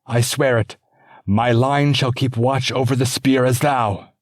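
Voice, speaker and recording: A man's voice: mid-range voice, fairly even intonation, measured pace, dry recording, studio quality, voice pushed up, natural voice. A man voicing a devoted knight who swears an oath fervently, in a raised voice.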